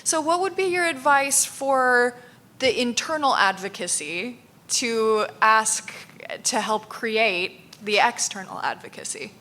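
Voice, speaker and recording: Woman audience member, loud bright voice, direct question, mic held back. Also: fairly high pitch, animated, slow. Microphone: handheld microphone held away.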